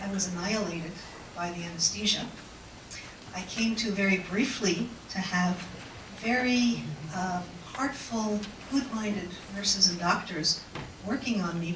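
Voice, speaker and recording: Woman, invited speaker, reflective storytelling, auditorium, distant mic, faint background noise. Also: mid-range voice. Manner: unhurried, reflective storytelling with pauses. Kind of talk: personal talk to an audience. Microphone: microphone. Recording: echoey hall mic heard from afar.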